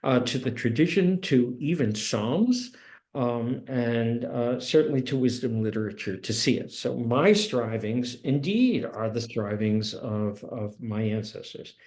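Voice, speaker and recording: A man in his seventies teaching a course, talking in a halting way with many restarts and fillers, and reading passages from a book aloud. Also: mid-range voice, animated, darkish tone. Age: 70s. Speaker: course instructor. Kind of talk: lecture with read-aloud passages.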